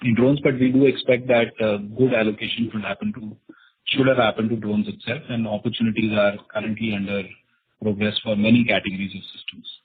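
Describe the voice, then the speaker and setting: A man with an Indian accent answering as a company executive on an earnings call, measured and halting, pausing often between phrases. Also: lowish voice, level tone, slightly rough. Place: conference call. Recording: narrow, tinny conference phone line.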